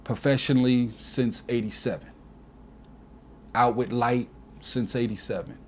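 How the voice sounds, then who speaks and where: A man's voice: medium pitch, steady tone, measured pace, a little hiss or hum. A man in his forties, a DJ guest on an interview, talking earnestly and from the heart, often adding "you know". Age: 40s. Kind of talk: casual reflective interview talk.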